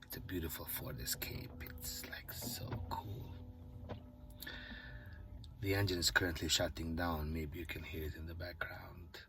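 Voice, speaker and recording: A man with a low, deep voice talks to camera in a loose, reflective monologue. He muses softly and keeps slipping into near-whispers. A faint hiss of noise sits under the recording. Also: flat delivery, measured pace. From Bosnia. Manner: musing, soft-spoken, drifts into near-whispers. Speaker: vlogger. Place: parked car.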